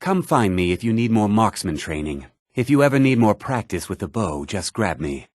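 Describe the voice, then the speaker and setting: Lively, husky-voiced fantasy game rogue. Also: male voice, lowish voice, moderate pace, dry recording, clean studio sound. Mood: self-assured, casual. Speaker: video game voice actor. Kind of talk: scripted fantasy game character dialogue.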